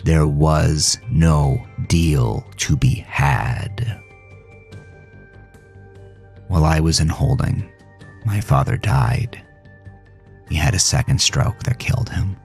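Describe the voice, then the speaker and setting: Deep, gravelly man narrating somber horror. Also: a little mellow. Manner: somber, dramatic, deliberate phrasing. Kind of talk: first-person horror audio drama narration. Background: music.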